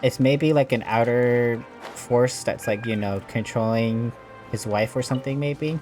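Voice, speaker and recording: A man's voice: medium pitch, fairly even intonation, measured pace, darkish tone. Casting: a man doing let's play commentary, laid-back and musing, game music faintly behind. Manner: casual, musing, filler-heavy. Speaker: gamer. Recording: voice over game audio with music.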